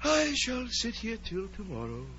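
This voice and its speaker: A man's voice: extremely high pitch, wildly swooping pitch, a little slow, exaggerated voice. Obtuse, droning fairy-tale footman. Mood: vacant, stubborn. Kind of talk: 1940s radio drama acting. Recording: muffled old radio recording, heavy noise.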